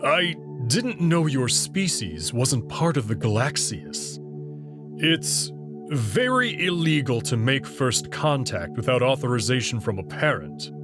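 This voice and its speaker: A man voicing a proud, guarded male alien who explains things slowly and carefully. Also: medium pitch, very animated, music in the background. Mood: calm. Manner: measured and slow, prideful about his species. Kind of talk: visual novel reading.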